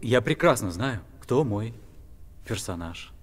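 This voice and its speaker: A man's voice: fairly low pitch, lively intonation, unhurried, long reverb tail. A man playing a vain, irritable stage actor in his thirties, indignant and self-important.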